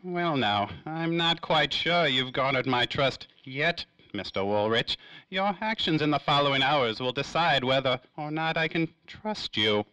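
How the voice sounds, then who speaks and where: A man's voice: on the high side, animated, sharp tone, dry recording, rough recording. A man playing a suave, cagey, self-important tycoon in a 1930s-style radio drama, drawing out his words theatrically. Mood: smug, evasive. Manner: theatrical, drawn-out, evasive phrasing. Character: suave, cagey, self-important industrial magnate in a noir serial.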